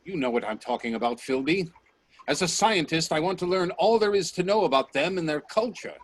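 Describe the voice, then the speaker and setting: Guy playing a bold professor time traveller, radio play, highish voice, bit of noise behind. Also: animated, brisk, natural voice. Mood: probing, dissatisfied. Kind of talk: radio drama acting. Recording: noisy video-call audio with clicks.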